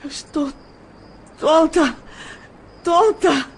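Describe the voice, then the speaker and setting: Actress as desperate lovesick young woman, high voice, slow drawn-out pleading, audio drama. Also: full character voice. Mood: dizzy, pleading. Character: distraught lovesick young woman, dazed, crying out for help.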